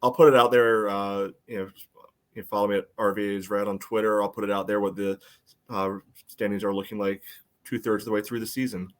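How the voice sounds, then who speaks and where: A man's voice: on the low side, little pitch movement, brisk. A man co-hosting a casual sports podcast in a matter-of-fact way. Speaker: podcast co-host.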